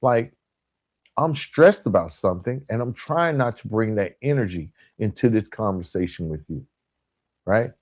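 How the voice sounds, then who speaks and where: A man hosting a podcast in a motivational coaching style, emphatic and deliberate, repeating key points and dropping in colloquial asides. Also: lowish voice, expressive, no room sound, clean studio sound. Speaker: podcast host. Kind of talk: motivational relationship coaching talk.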